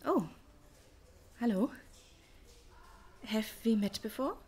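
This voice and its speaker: A woman playing an anxious, hurt wife in an audio drama, speaking softly and breathily with a hesitant, halting delivery. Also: on the high side, lively intonation, husky, heavily acted. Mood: wary. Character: hurt, anxious wife of a missing man, soft-spoken. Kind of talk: audio roleplay acting.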